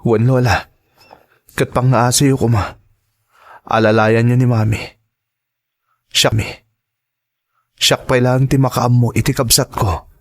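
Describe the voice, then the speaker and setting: A young Filipino man, played by a male actor in an audio drama. He speaks slowly at a middling pitch. His manner is earnest and measured, that of a reassuring big brother. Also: level tone. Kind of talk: Ilocano audio drama acting.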